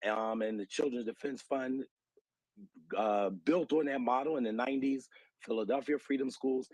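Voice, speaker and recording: Husky American man explaining earnestly. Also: mid-range voice, darkish tone, no echo, rough recording. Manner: earnest, articulate advocate citing research and figures. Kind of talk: explanatory interview answers.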